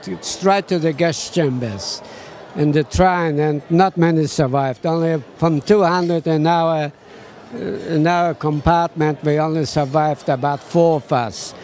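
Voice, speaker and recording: A man's voice: fairly high pitch, steady tone, measured pace, a little mellow, slight background noise. A man, giving short, plain answers in an outdoor interview. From Poland. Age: 80s.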